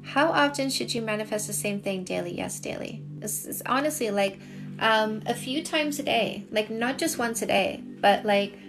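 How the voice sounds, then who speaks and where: Middle-aged female livestream coach, Canadian accent, fairly high voice with marked vocal fry, conversational, music behind. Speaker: dating coach and livestream host. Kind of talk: casual livestream chat, reading and answering viewer comments. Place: small home room with shelves, indoors. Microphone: phone at arm's length.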